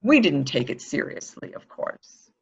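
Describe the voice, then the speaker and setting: A woman's voice: low-pitched, sing-song, measured pace, vocal fry, breathy edge, dull audio, full character voice. A woman playing an evasive, protective woman in a murder mystery reading, defensive and primly indignant. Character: evasive, protective woman guarding an excitable boy.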